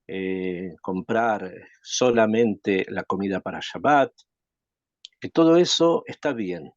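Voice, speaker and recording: Reflective rabbi giving a lesson. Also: male voice, medium pitch, no room sound, muffled recording. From Chile. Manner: reflective, rhetorical questions, thinking pauses. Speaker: rabbi teaching a class. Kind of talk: Torah lesson.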